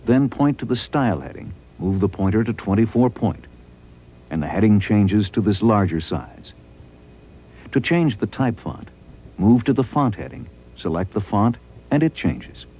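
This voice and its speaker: A man reading scripted promotional training narration in a measured, instructional way. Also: lowish voice, expressive, mellow, breathy edge.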